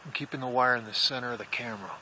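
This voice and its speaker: A man's voice: mid-range voice, fairly even intonation, racing, sharp tone. A man talking casually and folksily in a rambling explanation, recorded outdoors on a handheld camera as he shows his radio hobby setup. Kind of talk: casual handheld video tour. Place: outdoors in wooded mountain country. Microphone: handheld camera microphone. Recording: noisy outdoor camera audio.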